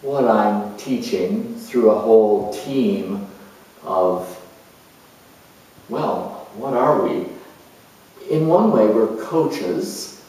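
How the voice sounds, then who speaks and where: Man acting as earnest spiritual teacher, very slow deliberate delivery, reflective, dramatic monologue, heavily echoing room. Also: on the low side, animated, dark tone. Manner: reflective, halting, deliberate with long pauses. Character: earnest spiritual coach, self-assured reformed show-off turned teacher. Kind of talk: dramatized monologue as if answering an interview. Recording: distant mic in very echoey room.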